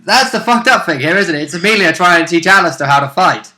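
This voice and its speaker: Male co-host, bright and sharp-toned, informal commentary on tabletop gaming. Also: high voice, animated, fast. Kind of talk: casual chat, commentary on a tabletop game.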